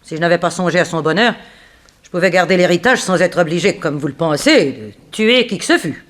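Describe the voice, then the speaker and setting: An actress playing a proud, composed woman under suspicion in a 1960s French radio drama, speaking in a formal, measured, self-controlled way. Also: fairly low pitch, animated, natural voice. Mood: cool, dignified. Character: composed, proud female companion under suspicion, coolly reasoning.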